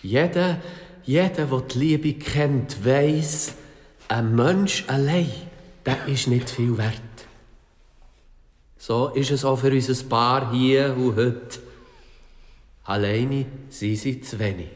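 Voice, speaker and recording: An actor playing a fanatical, ecstatic pastor who preaches in halting, repetitive incantations in a radio drama. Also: male voice, medium pitch, natural voice. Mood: solemn. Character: fanatical male pastor at a sinister wedding, ecstatic. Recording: echoey church hall, music behind.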